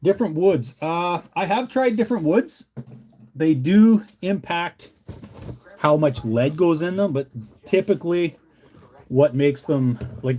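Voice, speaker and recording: A man's voice: on the high side, darkish tone. Laid-back, folksy Canadian man chatting. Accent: Canadian English. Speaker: livestream host, hobbyist lure maker. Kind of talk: casual chat with live viewers.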